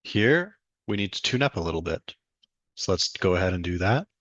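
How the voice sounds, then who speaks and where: A young man, presenting a step-by-step software demonstration. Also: sits low, big pitch swings, normal speed, dry recording, dull audio. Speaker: student demo presenter. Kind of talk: software demo walkthrough.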